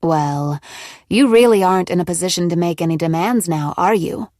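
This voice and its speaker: A woman reader voicing a cold, calm woman who holds another woman captive, speaking in a measured, quietly threatening way. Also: lowish voice, no echo, clean studio sound. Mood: menacing.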